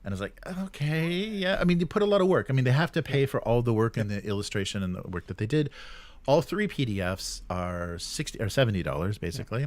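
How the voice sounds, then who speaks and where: A man co-hosting a tabletop gaming show, explaining news in a casual, halting way. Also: mid-range voice, animated, no echo.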